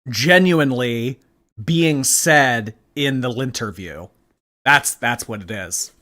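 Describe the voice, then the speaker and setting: A man with a bright, forward voice riffs as a reaction commentator. His delivery is blunt, sarcastic and full of swearing. His pitch sits in an ordinary middle range. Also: slow pace. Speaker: commentary YouTuber.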